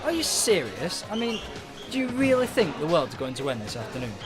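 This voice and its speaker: A man's voice: on the high side, wildly swooping pitch, a little fast, over music. A man playing a polite, hesitant, mildly worried pub barman in a radio play. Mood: polite, puzzled. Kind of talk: radio drama acting.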